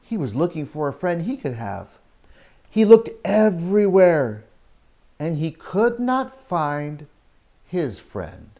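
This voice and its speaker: A man reading a picture book aloud to young children as their teacher, expressive, drawing out story lines and adding exclamations and questions for the listeners. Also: on the high side, takes it slowly. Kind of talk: animated read-aloud storytelling with asides to children.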